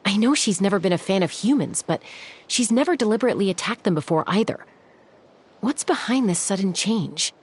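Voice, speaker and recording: A woman voicing a steady, frank young woman raised in the wild, speaking in a measured, earnest way for a video game. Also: mid-range voice, a little hiss or hum, natural voice. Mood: concerned, earnest.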